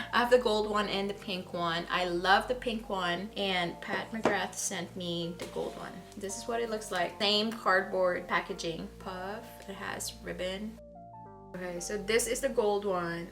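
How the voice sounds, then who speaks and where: Soft-spoken woman, beauty vlogger, gushing about makeup, music playing underneath. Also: medium pitch. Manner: gushing, enthusiastic about products. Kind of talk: makeup review and tutorial.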